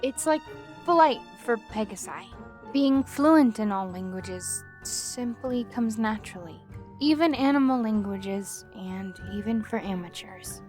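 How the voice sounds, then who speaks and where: A woman's voice: mid-range voice, measured pace, darkish tone, faint music behind, natural voice. A woman playing a proud, guarded young female unicorn in a scripted audio drama, speaking with formal, precise wording. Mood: explaining, subdued.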